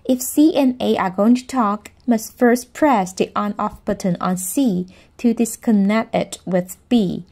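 A woman with a mid-pitched voice narrates a scripted how-to guide. She delivers each instruction in a measured, step-by-step way, as the narrator of a product tutorial. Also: darkish tone.

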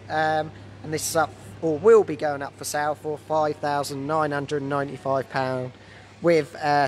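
A man in his thirties with a slightly croaky voice from a cold, casually presenting stock as a dealer on a live walkaround. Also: on the high side. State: has a cold. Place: large indoor motorbike warehouse showroom. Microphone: handheld phone. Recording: noisy handheld phone in warehouse.

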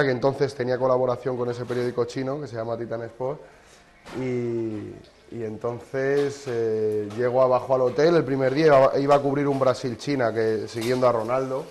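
A Spanish man in his thirties chats as a guest in a kitchen, with a mid-pitched voice. He wanders through long stories and restarts his sentences often. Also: a little mellow, slight background noise. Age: 30s. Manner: chatty, anecdotal, rambling with restarts. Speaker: sports journalist guest. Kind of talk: casual interview chat.

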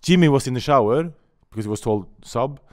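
A man in his forties with a Norwegian accent, a guest telling stories in animated, slightly broken English at a desk mic in a small studio. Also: mid-range voice, brisk. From Norway. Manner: animated storytelling, gesturing, some broken English. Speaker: guest, former footballer. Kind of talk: casual podcast chat. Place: small studio with colourful backdrop. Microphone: desk mic on stand.

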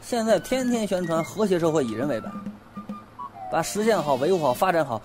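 An actor playing a flustered, excitable, blustering young man in a Chinese audio drama, swinging from muttering to shouting. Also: on the high side, a little fast, music in the background, lightly acted. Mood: indignant.

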